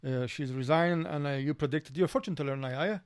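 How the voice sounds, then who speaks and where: A middle-aged man with a Polish accent speaks English as a second language, chatting casually as a podcast guest with halting phrasing, repeated words and many fillers. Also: fairly high pitch, smooth. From Poland. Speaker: podcast guest, door security worker.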